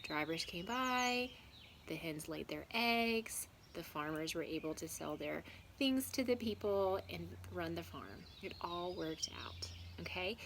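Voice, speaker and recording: A woman's voice: on the low side, a bit bright. A woman teacher reading aloud and discussing with children in an instructive, questioning way, with some background noise. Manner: instructive, question-and-answer, checking understanding.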